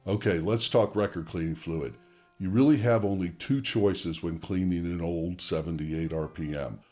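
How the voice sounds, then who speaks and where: Very deep-voiced man giving a tutorial. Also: moderate pace, a little mellow, soft background music. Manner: methodical, explanatory, addressing viewers directly. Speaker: presenter of a record-cleaning tutorial channel. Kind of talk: conversational product demonstration and explanation.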